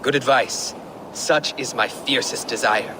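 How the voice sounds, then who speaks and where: A proud, passionate Viking jarl out of a video game, acted by a man. His mid-range voice is formal and solemn, set against clearly audible outdoor noise. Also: medium pitch, measured pace, natural voice. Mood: resolute.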